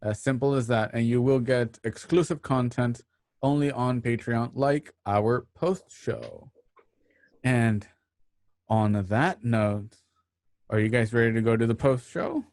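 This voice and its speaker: A man hosts a radio show in a casual, announcing style. His voice sits at a middle pitch. The sound is dry, with no room to it. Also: unhurried, darkish tone, no room sound.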